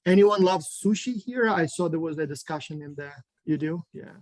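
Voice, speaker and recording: A man's voice: on the high side, normal speed, a little mellow, no echo. A man from Ukraine chatting casually on a video call, hesitant with many ums and restarts. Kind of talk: casual online group chat.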